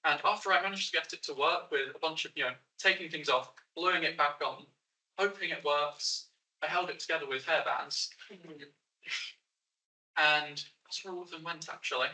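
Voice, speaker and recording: An eighteen-year-old man, loud and chatty, telling his story with rambling asides. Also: on the high side, normal speed. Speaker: young maker and guest. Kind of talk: casual spoken story. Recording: roomy sound from a distant mic.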